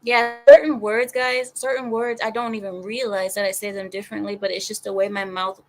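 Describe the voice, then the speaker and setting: A woman's voice: medium pitch, little pitch movement, a little fast, low-quality recording. A woman who is a native Arabic speaker, hosting a casual group chat and repeating words as she explains herself. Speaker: host of a group discussion.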